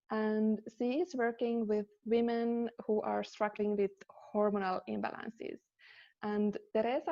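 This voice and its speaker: Lady hosting a live podcast, kinda high, gravelly, dull lo-fi sound. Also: warm tone. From Finland. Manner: upbeat, with fillers and restarts. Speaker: podcast host.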